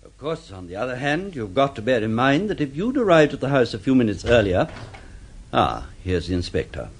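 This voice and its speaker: An actor playing a measured senior police official in a radio drama. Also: male voice, mid-range voice, sing-song, slightly rough.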